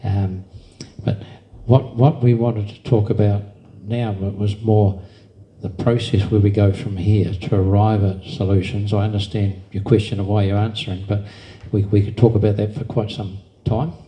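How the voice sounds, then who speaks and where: A man chairs a public meeting and explains things patiently. His low voice is very dark and flat, with little rise and fall. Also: normal speed, hardly any pauses, low-quality recording.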